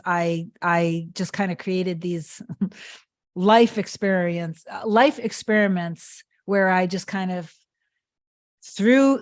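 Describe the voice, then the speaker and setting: Earnest woman coaching on a live stream. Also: on the low side. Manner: repetitive, emphatic, earnest. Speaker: manifestation coach. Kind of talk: live-stream talk answering chat comments.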